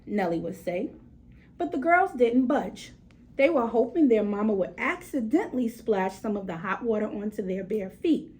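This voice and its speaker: A woman with a fairly high voice reads a children's picture book aloud. Her pitch swings widely as she goes. She draws out key words and breaks off to talk straight to her young listeners. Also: low-quality recording. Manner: animated, stretches words for emphasis. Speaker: read-aloud storyteller for children.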